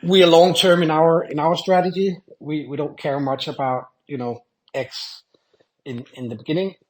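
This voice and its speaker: A man's voice: fairly high pitch, steady tone, moderate pace, poor audio. A man speaking as a guest on an online panel, upbeat, sometimes repeating words as he goes. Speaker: panel guest, company founder. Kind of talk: online audio panel discussion.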